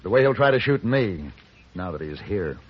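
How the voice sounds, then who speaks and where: A wry, composed western bounty hunter, muffled recording. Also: male voice, lowish voice, big pitch swings. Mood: calm, grave. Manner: measured, dry, formal wording. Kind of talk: old radio western acting. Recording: muffled, noisy old radio drama recording.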